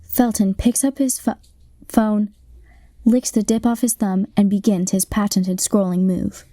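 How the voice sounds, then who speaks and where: A deadpan young woman narrator acted in a radio comedy. Her voice is mellow and recorded close and dry, with measured, matter-of-fact descriptions of the action. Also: medium pitch, no room sound, lightly acted. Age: young adult. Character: deadpan narrator describing players' moves in sports parody. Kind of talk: scripted radio play narration.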